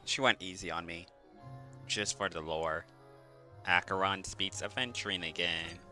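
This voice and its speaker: A deep-voiced man streams a game, chatting casually and tossing out dismissive remarks. Game music plays clearly behind him. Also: a little slow. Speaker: game livestreamer. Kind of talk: casual gameplay commentary.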